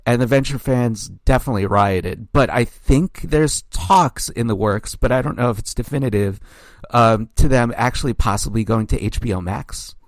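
A man who has gone hoarse, chatting as a guest on a podcast in a rambling way full of fillers. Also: mid-range voice, normal speed, no echo. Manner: rambling, filler-heavy, animated. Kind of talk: casual podcast chat.

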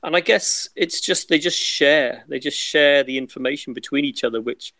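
Higher-voiced British man, wry and chatty. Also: moderate pace, gravelly, pushed and bright, no room sound. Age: 50s. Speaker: podcast guest, record shop owner. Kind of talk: casual anecdotal chat.

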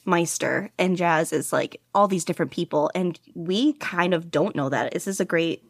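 A woman's voice: fairly low pitch, no room sound, studio quality. A woman hosting a casual podcast chat, talking enthusiastically with lots of fillers and laughter. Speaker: podcast host.

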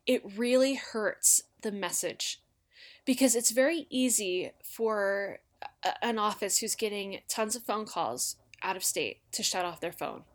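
A woman's voice: medium pitch, animated, unhurried. American woman, emphatic and colloquial. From Utah, United States. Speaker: guest, former congressional aide. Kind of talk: casual podcast interview.

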